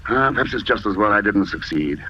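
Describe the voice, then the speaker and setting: A man's voice: fairly low pitch, lively intonation, brisk, natural voice. An actor playing a cool, calculating man in an old-time radio drama, speaking in an articulate, self-assured way. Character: cool, calculating detective writer, a vengeful father. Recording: noisy, dull old radio broadcast recording.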